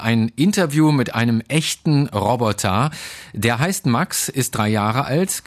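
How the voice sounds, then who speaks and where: A man hosting a radio show, doing a light interview in a playful, bantering way. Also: medium pitch.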